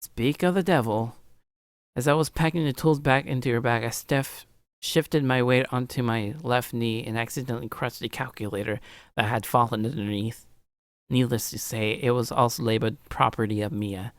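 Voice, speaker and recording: A man's voice: fairly even intonation, moderate pace, no room sound, studio quality. Man reading a visual novel over music. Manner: stumbles and rereads phrases. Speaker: livestreamer doing a let's play. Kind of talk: reading visual novel narration aloud, with casual asides. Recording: low-quality stream audio, music behind.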